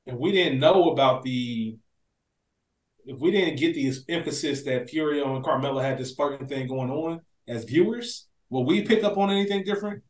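A man co-hosting a casual TV recap podcast, talking in an animated, slangy way with plenty of swearing. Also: medium pitch, moderate pace. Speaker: podcast co-host. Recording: roomy, echoey sound.

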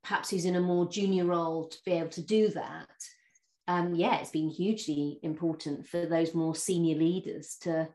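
Woman podcast host, chatty, lots of ums, lowish voice, echoey room. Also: fairly even intonation, normal speed. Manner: chatty, warm laughs, many fillers and restarts. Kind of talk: interview conversation.

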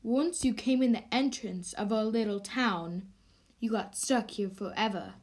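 Radio drama role: cocky, commanding young man, female performer, unhurried delivery. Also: medium pitch, a little mellow, pitched below the performer's own voice, natural voice. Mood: patient, explaining. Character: self-assured, vain young man from a magic town. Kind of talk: amateur radio drama acting.

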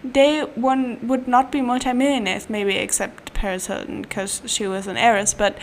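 A young woman with a German accent talks in a chatty, playful way and often breaks into giggles. Her voice sits a little high. A faint hiss sits under the talk. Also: flat delivery, moderate pace. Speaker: podcast host. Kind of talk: casual solo podcast chat. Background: faint room ambience, cat nearby.

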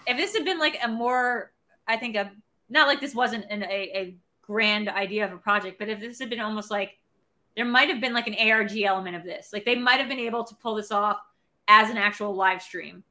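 Bright-voiced woman rambling about films. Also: medium pitch, fairly quick. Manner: rambling, heavy use of "like". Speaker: podcast and stream co-host. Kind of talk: casual film chat.

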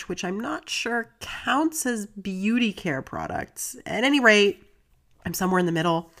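A woman's voice: on the low side, a bit bright. A woman with a stuffy, congested voice from a cold, hosting a solo podcast in a chatty, earnest way, with asides between facts. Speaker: podcast host.

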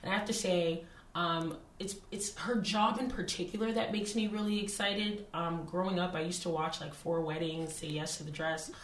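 A young woman hosting a podcast, introducing her guest with lively enthusiasm. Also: on the low side, normal speed, a little hiss or hum. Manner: upbeat, enthusiastic. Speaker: podcast host. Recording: echoey room with faint music.

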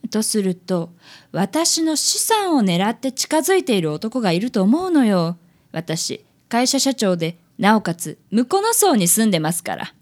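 A woman's voice: medium pitch, sing-song, a little slow, a little mellow. A woman playing a haughty, condescending wealthy company president in a comedic radio drama. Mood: self-satisfied. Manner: condescending, ladylike, self-satisfied.

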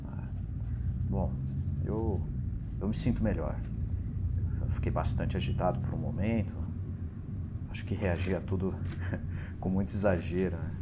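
An actor playing a distraught, nervous family man in an audio drama, pleading and stammering in a halting way. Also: sits low. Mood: uneasy relief. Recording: clearly noisy ambience under voice.

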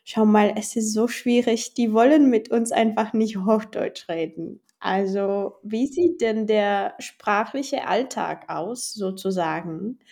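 A woman's voice: medium pitch, takes it slowly, vocal fry, hardly any pauses, a little mellow. A woman hosting an interview podcast, a German teacher asking questions and murmuring along encouragingly. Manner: encouraging, many listening murmurs. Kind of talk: casual interview.

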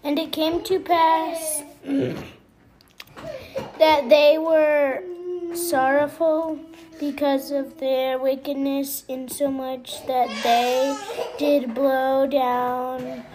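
A child reading scripture aloud haltingly, pausing mid-phrase and repeating words. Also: sits high, flat delivery. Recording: noisy home recording.

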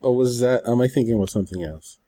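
A man co-hosting a podcast in casual conversation, thinking aloud in a halting way. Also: mid-range voice, mellow. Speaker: podcast co-host.

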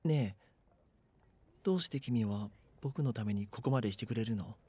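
A man's voice: on the low side, moderate pace, dark tone, husky. A man playing an anxious, bashful schoolboy who narrates his own story earnestly in a voice drama. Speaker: voice actor. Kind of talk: Japanese voice drama, first-person narration and dialogue.

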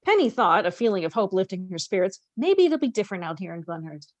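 A woman's voice: medium pitch, big pitch swings, quick pace, dry recording, lo-fi sound. A woman co-hosting a podcast, expressively reading a novel aloud with casual, conversational asides.

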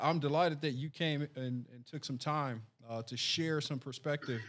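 A man's voice: mid-range voice, a little hiss or hum. Earnest man hosting a podcast. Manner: earnest, measured, frequent restarts. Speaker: sheriff and podcast host. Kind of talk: podcast interview.

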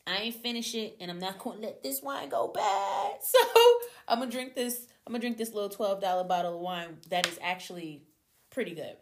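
A young adult woman hosting her own podcast, talking casually and animatedly to her listeners, her voice slightly hoarse from a cold. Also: medium pitch, brisk. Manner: chatty, animated, addresses listeners as y'all. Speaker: podcast host. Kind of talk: casual solo podcast chat.

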